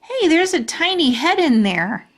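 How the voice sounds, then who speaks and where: A woman voicing an excitable, blunt, naive kindergarten girl in a children's storybook reading. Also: high voice, unhurried, voice pushed up. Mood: excited.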